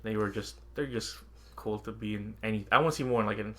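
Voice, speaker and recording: A man's voice: fairly low pitch, brisk, crowd noise. A man co-hosting a podcast in casual, jokey chat about movies, given to laughing as he talks. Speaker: podcast host.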